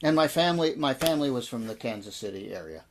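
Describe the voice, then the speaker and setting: An American man in his sixties reminiscing in an interview as a guest, telling his story in a reflective, halting way. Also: mid-range voice, animated, slight background noise. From American Midwest and Texas. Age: 60s. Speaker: interview guest, audio drama producer and actor.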